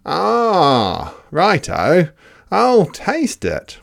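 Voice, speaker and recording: A storyteller voicing a boastful, gleeful male dingo cook in a storybook, excitable and singsong. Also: medium pitch, very slow, vocal fry, voice pushed up, exaggerated voice. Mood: eager, gleeful.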